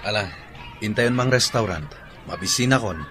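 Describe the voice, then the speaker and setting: A man's voice: lowish voice, normal speed, fairly bright tone, natural voice. An actor playing a brusque, evasive husband and father in a radio drama. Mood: casual.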